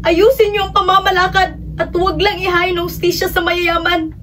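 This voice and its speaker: A woman's voice: very high-pitched, flat delivery, moderate pace, a little hiss or hum. A woman acting a grieving activist daughter who pleads for justice in a Filipino radio drama. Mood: indignant. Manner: impassioned, pleading. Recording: distant mic under loud music.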